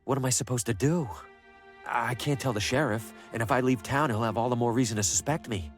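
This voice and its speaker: A man's voice: mid-range voice, fairly quick, faint music behind, close to an everyday voice. An actor playing a bemused, jittery traveling salesman with wry asides in an adventure video game. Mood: frightened, shaken. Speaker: player character in adventure game.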